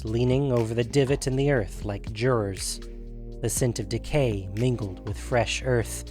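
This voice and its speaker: A man narrates a fantasy story with a medium-pitched voice. His delivery is measured and dramatic, following a script. Soft music plays behind him.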